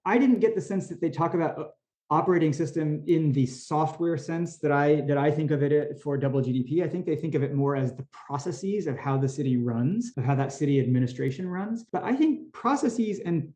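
Male podcast guest, slightly high voice, mellow tone, thoughtful and halting. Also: lively intonation. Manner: thoughtful, restarts and repeats words often. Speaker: podcast guest, company CEO. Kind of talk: conversational interview answers. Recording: roomy echoey room sound.

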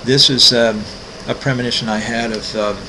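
A man in his sixties, an artist walking through his website, talking casually with many ums, pauses and restarts. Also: medium pitch, steady tone, background noise. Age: 60s. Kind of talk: casual screen-recorded walkthrough.

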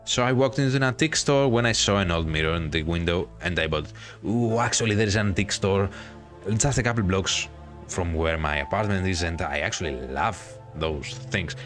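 Very deep-voiced man reading over music. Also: brisk, a bit bright. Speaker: gaming video host. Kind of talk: reading a visual novel aloud with casual commentary.

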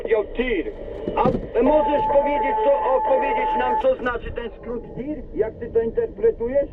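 High-pitched male driver, sweary and preachy, distant tinny CB radio. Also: huge pitch swings, moderate pace. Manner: profane, lecturing. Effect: CB radio transmission. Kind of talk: ranting casual CB radio chat. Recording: narrow, noisy CB radio in a vehicle.

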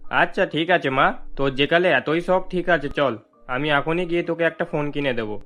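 An agreeable middle-aged cartoon father played by a man, with a slightly high voice. He is indulgent and reassuring, with faint music behind him. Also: normal speed, fairly bright tone, natural voice. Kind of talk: Bengali cartoon voice acting.

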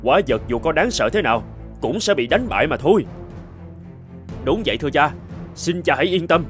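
A man plays a bold young fairy-tale cartoon prince, rather high in pitch and animated. He declaims earnestly over faint music. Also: brisk, lightly acted. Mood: confident. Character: brave, earnest young prince, one of three brothers.

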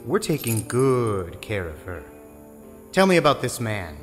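A man playing a menacing, smooth-talking crooked federal agent in a 1920s audio drama, taunting and mock-polite. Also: mid-range voice, very animated, measured pace. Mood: menacing, pressing. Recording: long reverberant hall sound, faint music behind.

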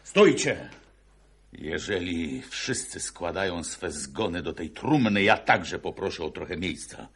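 An actor playing a fervent revolutionary fighter, orating bombastically with a husky voice in a radio play. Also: male voice, low-pitched, big pitch swings, a little slow, a bit bright, heavily acted. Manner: bombastic, oratorical. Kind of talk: theatrical radio play declamation.

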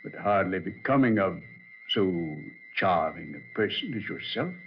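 A man's voice: low voice, lively intonation. An actor in a 1940s radio horror drama playing a menacing old man back from the grave, mockingly courteous and sinister. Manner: slow, sinister, mock-courteous, chuckling. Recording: muffled old radio recording with hiss.

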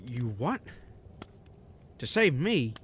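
A man's voice: mid-range voice, big pitch swings, takes it slowly, fairly bright tone, husky, heavily acted. A man playing a despairing, skeptical everyman in a radio drama, wry and stammering. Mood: incredulous. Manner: stammering, sardonic, incredulous.

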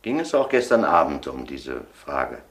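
An actor playing a persistent police inspector in a crime radio drama, putting terse, probing questions. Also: male voice, a bass voice, sing-song, moderate pace. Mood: pressing. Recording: faintly noisy old radio drama recording.

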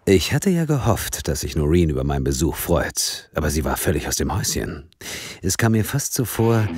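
An actor playing a wry, easygoing London police officer in an audio drama, in a conversational manner. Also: male voice, deep voice. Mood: reflective. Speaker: lead actor and first-person narrator. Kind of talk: German audio drama narration and dialogue.

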